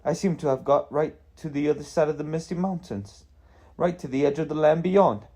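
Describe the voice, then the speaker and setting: Storybook hobbit, played by a male reader: small, anxious, exclamatory, pitched up, soft-toned. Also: brisk, natural voice. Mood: worried, bewildered. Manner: exclamatory, old-fashioned English phrasing.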